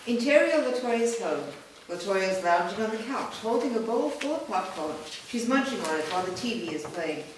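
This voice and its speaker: A woman narrates stage directions from a script at a staged reading. Her medium-pitched voice is recorded from far away, with clear noise around it. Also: lively intonation, normal speed. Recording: very echoey room, distant mic, noisy.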